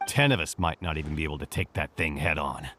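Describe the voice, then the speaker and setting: An actor voicing a grumbling caravan guard who complains in an exasperated way. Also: male voice, extremely low pitch, quick pace, noisy background. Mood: griping.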